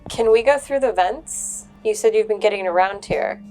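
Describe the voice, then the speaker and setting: A woman's voice: mid-range voice, moderate pace, a little mellow, low-quality recording. A woman playing a tabletop role-playing game, chatting casually and partly in character.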